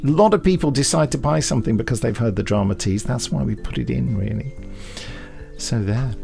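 A man's voice: mid-range voice, a little fast, creaky, darkish tone. British man presenting with wry asides, music behind. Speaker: podcast co-host. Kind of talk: chatty podcast presenting.

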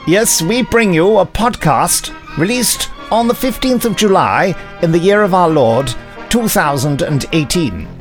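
Wry co-host with mock-pompous delivery. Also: male voice, sits high, lively intonation, a little slow, faint music behind. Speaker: podcast co-host. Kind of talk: mock-formal trailer presenting.

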